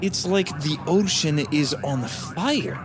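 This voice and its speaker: A man's voice: fairly high pitch, lively intonation, slow pace, over music. A man playing an earnest, dutiful executive officer in an audio drama, formal and concerned. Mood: puzzled. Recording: clear background noise.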